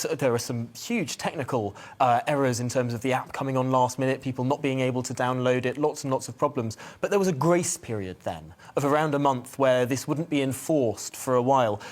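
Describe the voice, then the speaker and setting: A man presenting a radio show, questioning a guest in an articulate, inquisitive way. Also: medium pitch. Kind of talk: interviewing a guest.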